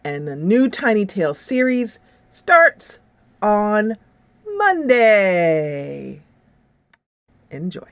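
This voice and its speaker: A woman's voice: mid-range voice, big pitch swings, extremely slow, pauses often, smooth, dry recording. A woman hosting a gaming channel, chatting casually in a rambling way with some dramatic rhetorical flourishes. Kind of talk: casual game review and upcoming series chat. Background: music.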